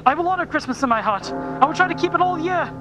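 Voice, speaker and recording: An actor playing a frightened, repentant old miser in a theatrical radio play, earnest and pleading. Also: male voice, very high-pitched, animated, fast. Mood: desperate pleading.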